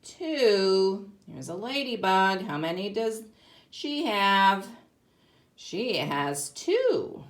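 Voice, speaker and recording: A woman speaks as a kindergarten teacher reading aloud to young children. Her pitch swings widely and expressively while her pace stays slow. She phrases things simply and deliberately, stressing key words and putting questions to the listener. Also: big pitch swings. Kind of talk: read-aloud lesson for young children, explaining and asking questions.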